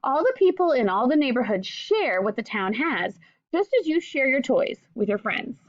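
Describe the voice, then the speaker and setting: Female primary-teacher read-aloud voice, highish and very lively in pitch, addressing kids directly; dry, slightly dull lo-fi recording. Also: moderate pace, no echo. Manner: addresses young listeners directly with simple questions. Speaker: teacher reading a picture book aloud. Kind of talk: children's read-aloud with questions and asides.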